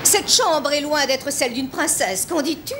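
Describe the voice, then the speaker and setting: A woman's voice: sits high, animated, normal speed, fairly bright tone, a little hiss or hum. A woman playing a cold, bossy, stern schoolmistress in a French cartoon dub. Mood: cold, commanding. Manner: stern, commanding. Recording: music playing behind the voice.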